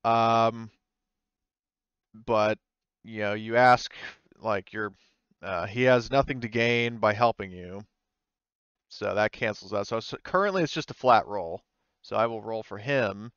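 A man casually running a tabletop sci-fi game as game master, thinking aloud in a halting, offhand way. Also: fairly low pitch, a bit bright, smooth, no room sound. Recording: muffled voice-chat audio.

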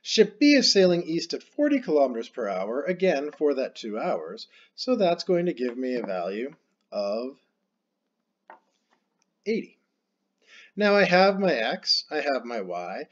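A man's voice: on the high side, sing-song, a little slow, lo-fi sound. A man teaching a calculus problem step by step while writing it out on screen. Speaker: math teacher. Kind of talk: screen-recorded math lesson.

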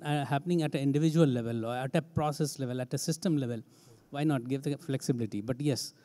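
A man's voice: on the high side, mellow. A man with an Indian English accent speaking emphatically on a conference panel as an HR head, into a handheld mic on a large stage. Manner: emphatic, repetitive phrasing. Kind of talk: panel discussion answer.

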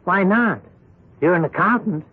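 An actor playing a smooth, menacing bookie with a coolly insistent, politely threatening manner in a 1970s radio drama. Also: male voice, high voice, expressive, moderate pace. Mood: needling, insistent. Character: smooth, menacing male bookie and debt collector. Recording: tinny band-limited old radio broadcast.

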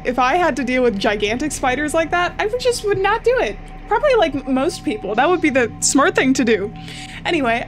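A young woman playing a horror game, chatty and jittery, joking at her own fear, on a studio mic in a small room at home. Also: sits high. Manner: jittery, chatty, self-mocking. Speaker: gaming YouTuber. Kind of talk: horror game let's play commentary. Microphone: studio mic with pop filter, headphones.

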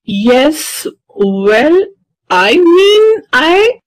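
Young cartoon schoolboy, acted by a woman: high and very expressive, exasperated, full of complaints and excuses. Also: drawn-out words, dry recording, full character voice, poor audio. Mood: anxious.

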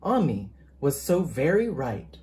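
Mellow, expressive storybook reader. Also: medium pitch, a little slow. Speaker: teacher reading a picture book aloud. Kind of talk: expressive children's storybook read-aloud.

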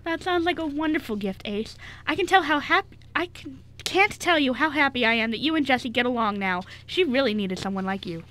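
A kindly orphanage worker in her early thirties, acted by a woman, from an amateur radio play. Her voice is high and bright, and she speaks with friendly encouragement. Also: lively intonation, a little fast, few pauses. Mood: friendly, fond. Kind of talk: amateur radio drama script reading.

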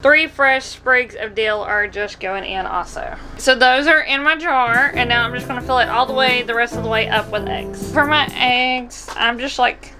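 A woman's voice: fairly high pitch, pushed and bright, poor audio. An American woman in her twenties casually talking through a cooking demonstration as a vlog host, recorded on a camera in a small indoor kitchen. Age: 20s.

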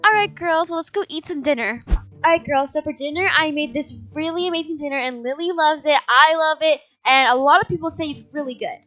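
A woman playing a kind, forgiving adoptive mother in a video game roleplay, gentle and chipper. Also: high-pitched, fairly quick, soft background music. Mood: placating.

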